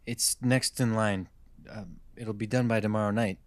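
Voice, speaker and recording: A man's voice: on the low side, fairly even intonation, moderate pace, dry recording, close to an everyday voice. A man playing a frustrated, bitter small-town mechanic who grumbles and swears to himself in a radio mystery drama. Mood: meek, apologetic.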